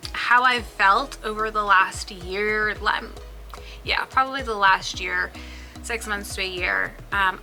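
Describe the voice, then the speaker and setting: Bright-voiced chatty woman. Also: medium pitch. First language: Spanish. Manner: chatty, with frequent ums and likes. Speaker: business owner. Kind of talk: casual personal vlog talk.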